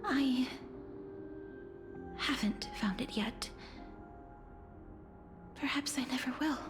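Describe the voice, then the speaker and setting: A solemn young woman bound to death for a game drama. She is soft and reflective, taking her words very slowly in a lightly high voice, with faint music underneath. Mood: troubled. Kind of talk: dramatic video game voice acting.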